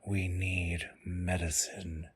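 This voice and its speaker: A man playing a proud, wary wolf-folk leader in an audio roleplay, speaking formally in a husky, raspy voice. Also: low-pitched, little pitch movement, takes it slowly, noisy background. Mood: grave, wary.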